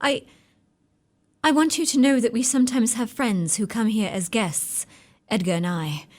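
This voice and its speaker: An actress playing an imperious, high-strung young married gentlewoman in a period radio drama, emotional and haughty in manner. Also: moderate pace, natural voice. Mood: guarded.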